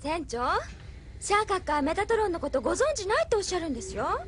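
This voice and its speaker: A woman's voice: very high voice, very animated, fast, a little hiss or hum. A woman playing an eager, idealistic young crew member, polite and earnest, in an audio drama. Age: young adult. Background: faint music.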